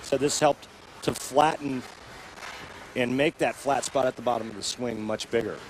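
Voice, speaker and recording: A man's voice: medium pitch, moderate pace, low-quality recording. A man giving color commentary on a bowling broadcast, explaining technique with folksy comparisons. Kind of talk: sports color analysis. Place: bowling center broadcast booth. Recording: crowd and arena noise. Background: crowd noise.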